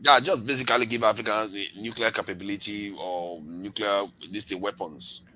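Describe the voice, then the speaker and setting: A man in a casual online discussion, asking questions and making his points in a measured way. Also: fairly low pitch, steady tone, sharp tone, poor audio.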